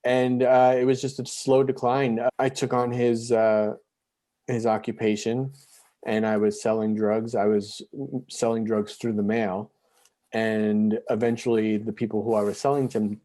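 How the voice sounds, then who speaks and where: Mellow-voiced man in his forties. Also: lowish voice. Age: 40s. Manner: halting, frequent restarts and fillers. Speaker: interview guest. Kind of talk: candid personal storytelling.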